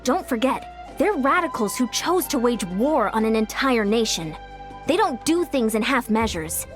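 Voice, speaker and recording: A woman voicing a cautious, level-headed commander who talks in a measured way. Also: a little high, big pitch swings. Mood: wary. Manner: measured, wary. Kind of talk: video game voice acting. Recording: game dialogue over music.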